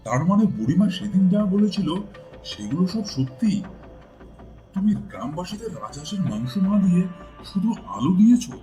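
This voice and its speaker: A man's voice: high-pitched, sing-song, normal speed, warm tone, soft background music. A man voicing a stern, indignant village landlord in a cartoon, imperious and exclamatory. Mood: shocked, questioning. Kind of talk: cartoon voice acting.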